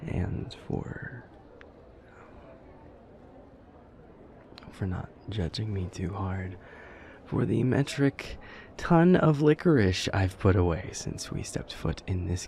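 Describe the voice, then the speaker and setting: Guy doing a nervous vampire boyfriend for audio rp, slow and stammery, lots of vocal fry, murmurs a lot. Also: fairly low pitch, noisy background, exaggerated voice. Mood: tender, playful. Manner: halting, stammering, rambling anxiously, often dropping to breathy murmurs. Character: nervous, self-deprecating immortal male vampire boyfriend, affectionate. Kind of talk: intimate boyfriend roleplay audio acting.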